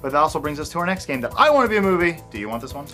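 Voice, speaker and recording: Fast, animated higher-voiced man. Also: faint music behind. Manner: animated, joking. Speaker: host. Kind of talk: casual video game news chat.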